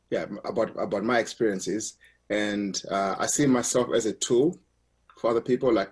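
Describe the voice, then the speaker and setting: A filmmaker introduces himself softly and hesitantly. His voice is low and husky, heard over a video call. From Zimbabwe. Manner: soft-spoken, hesitant.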